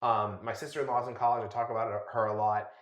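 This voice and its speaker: A man's voice: medium pitch, fairly even intonation, quick pace, fairly bright tone. A man co-hosting a podcast, talking casually as he thinks aloud and checks for agreement. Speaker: podcast co-host. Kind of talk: casual discussion. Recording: roomy, echoey sound.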